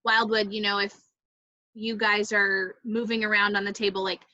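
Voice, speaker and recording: A woman's voice: mid-range voice, little pitch movement, moderate pace, bright, forceful tone, no echo, muffled recording. A woman explaining things casually on a video call.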